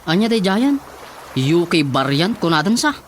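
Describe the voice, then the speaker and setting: A man's voice: sits high, big pitch swings, moderate pace, a little hiss or hum. A man with an Ilocano accent playing a worried, chatty villager in a radio drama.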